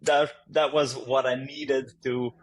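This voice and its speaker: A man's voice: mid-range voice, little pitch movement, slow, rough recording. A man from Latvia with a Latvian accent, a startup founder answering interview questions hesitantly with many fillers and easy laughs.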